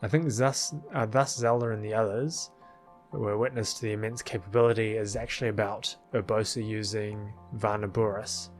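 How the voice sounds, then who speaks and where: A man with a slightly deep voice records casual video commentary. He rambles in an offhand way, close to the mic, with music playing underneath. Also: fairly even intonation, normal speed. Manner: rambling, chuckling asides. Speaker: video creator, film editor.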